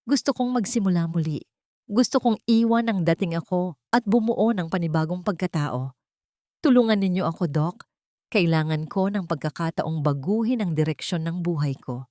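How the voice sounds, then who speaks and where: A woman's voice: on the low side, moderate pace, mellow, no room sound, studio quality, close to an everyday voice. A woman playing a wounded, betrayed mother in an audio drama, grieving and determined to start over. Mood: pained, pleading. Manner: weak-voiced, pained but determined. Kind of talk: melodramatic audio drama dialogue.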